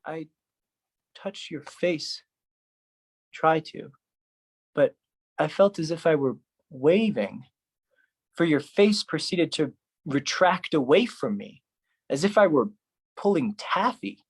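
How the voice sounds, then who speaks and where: Solo performer for theatrical spoken-word poetry, mid-pitched voice, slow and deliberate dramatic phrasing. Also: expressive, breathy edge.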